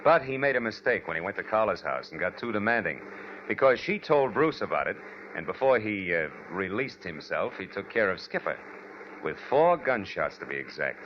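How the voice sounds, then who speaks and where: An actor playing a wry, weary private detective in a 1940s radio noir drama, with dry, deliberate, sardonic delivery. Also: male voice, fairly low pitch, sing-song, lo-fi sound, slight background noise. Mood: explanatory, wry. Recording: band-limited 1940s radio recording with music.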